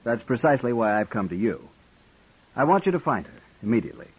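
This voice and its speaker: Stuffy city gent, clipped and haughty, husky, pitch swings all over, old radio drama. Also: male voice, sing-song, fast talker. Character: prim, pompous male gentleman from the city. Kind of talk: 1950s radio drama acting. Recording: dull old radio broadcast.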